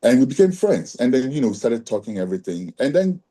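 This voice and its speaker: A man's voice: medium pitch, sing-song, fast, dark tone. A man, speaking to a community group and pressing his points with rhetorical questions. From Ghana. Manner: emphatic, asks rhetorical questions. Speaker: speaker at a Rastafari community discussion. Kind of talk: reasoning talk to a group.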